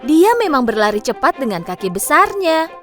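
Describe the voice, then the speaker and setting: A woman narrating a children's cartoon story in a lively, expressive way. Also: sits high, normal speed. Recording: narration over loud background music.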